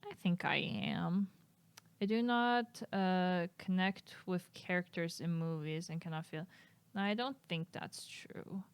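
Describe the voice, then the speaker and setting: A woman's voice: on the low side, unhurried, clear, resonant tone, no room sound. A young woman streaming, reading test questions aloud and answering them in a casual, hesitant way, often laughing. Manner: reads items aloud then answers with hesitant, giggly asides; self-describes as flat and monotone.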